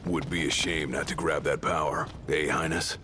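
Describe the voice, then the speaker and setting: An actor voicing a gruff, big-brotherly young male bodyguard in a video game, with an American accent and a blunt, slangy, teasing manner. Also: a bass voice, fairly even intonation, normal speed, background noise. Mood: wry.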